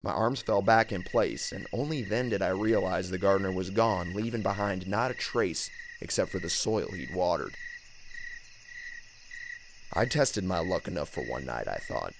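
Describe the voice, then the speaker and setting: A man narrating a scripted first-person horror story in an expressive, suspenseful storytelling manner. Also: deep voice, normal speed, vocal fry, slight background noise. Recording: music bed under narration. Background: background music.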